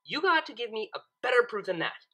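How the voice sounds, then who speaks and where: A woman's voice: fairly high pitch, sing-song, fast talker, sharp tone, breathy edge, voice pushed up, exaggerated voice. A woman voicing a skeptical, bookish female cartoon pony, sarcastic and easily flustered, with an emphatic way of talking. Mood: skeptical, challenging.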